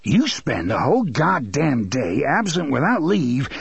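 A man's voice: mid-range voice, very animated, measured pace, vocal fry, slightly rough, no room sound, heavily acted. A man voicing a blustering, bullying middle-aged father who snarls and swears. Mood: snarling. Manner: snarling, profane.

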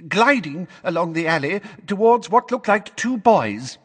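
Man putting on a quavery, high-pitched batty old woman. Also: big pitch swings, measured pace, husky, in a large hall, heavily acted. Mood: nervous gabbling. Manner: gabbling as if reciting by heart. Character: scared, batty, withered old woman, indignant and flustered.

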